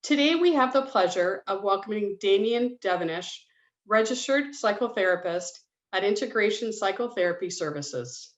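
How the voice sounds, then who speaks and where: A woman's voice: medium pitch, expressive, moderate pace. A woman hosting a webinar, reading a businesslike scripted introduction on a video call. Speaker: public education coordinator and webinar host. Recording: dull roomy video-call audio.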